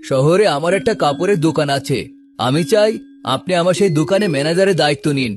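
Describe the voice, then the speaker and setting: A courteous gentleman played by a man talks in a somewhat high male voice. He is polite, earnest and respectful, a well-bred father in a cartoon folk tale, with music clearly playing behind him. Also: fast, close to an everyday voice. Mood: grateful. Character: anxious, grateful, courteous gentleman, father of a bride. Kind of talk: Bengali cartoon moral tale dialogue.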